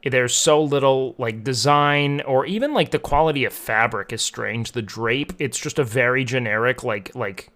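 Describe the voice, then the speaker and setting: A man's voice: mid-range voice, normal speed, hardly any pauses, a bit bright. Young man rambling casually, lots of fillers. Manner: rambling, filler-heavy, frequent "like". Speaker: streamer. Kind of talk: casual chat with chat.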